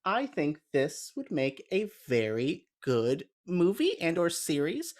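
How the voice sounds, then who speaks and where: A man's voice: a little high, sing-song, slow, darkish tone. A man on a panel giving his opinions in an earnest, conversational way. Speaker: podcast panelist. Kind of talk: casual chat, giving opinions.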